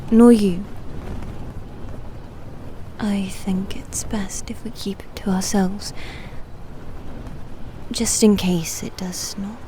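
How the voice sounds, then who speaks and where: A woman playing a reserved, formal demon knight in a soft-spoken ASMR roleplay, guarded about love, speaking hesitantly and often close to a whisper. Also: mid-range voice, steady tone, slow, long pauses, noisy background, lightly acted. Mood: cautious, formal.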